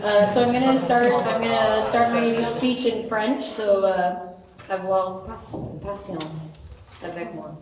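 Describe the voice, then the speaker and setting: Canadian woman doing a best friend wedding toast, slow and self-deprecating, far off mic in a roomy hall with a crowd. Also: medium pitch, steady tone. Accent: English-speaking Canadian. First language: English. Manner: self-deprecating, jokey, switching between French and English. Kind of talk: wedding speech.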